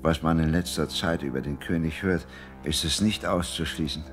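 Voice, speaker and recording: A man's voice: a bass voice, moderate pace, faint music behind. An actor playing an anxious, grave old father in a biblical German radio play. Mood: anguished. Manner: worried, grave.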